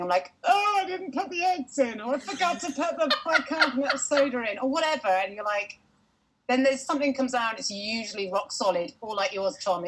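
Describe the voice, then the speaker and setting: A woman guest comedian telling family stories on a video call, filling pauses with um and acting out quotes. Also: mid-range voice, a bit bright, husky, rough recording. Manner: fills pauses with um, quotes people in voices. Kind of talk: anecdotal comedy chat.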